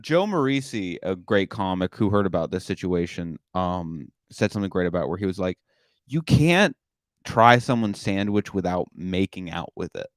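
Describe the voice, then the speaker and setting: A man's voice: deep voice, lively intonation, moderate pace, dry recording, studio quality. A young man hosting a comedy podcast, rambling casually with lots of filler words and self-deprecating asides. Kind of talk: casual chat.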